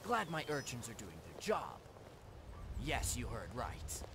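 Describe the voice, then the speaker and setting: A sly crime fence from a fantasy video game, acted by a man, with a lively, swinging mid-range pitch. He speaks deliberately and conspiratorially, like business is being done on the quiet. There is heavy noisy ambience behind the voice. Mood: businesslike, conspiratorial. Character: shady male fence and thief boss, sly, businesslike. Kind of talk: video game character dialogue.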